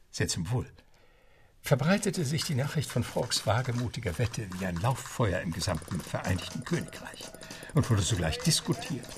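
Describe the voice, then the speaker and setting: An actor playing a genteel, wry butler who narrates a radio play and makes asides to the listener. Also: male voice, lowish voice, background noise. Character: James, a genteel English butler telling the tale.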